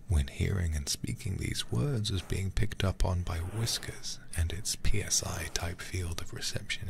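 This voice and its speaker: A man whispering softly close to the mic as an ASMR streamer, reading and commenting through a horror game. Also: sits low, vocal fry. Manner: soft, hushed whispering. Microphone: close ASMR microphone.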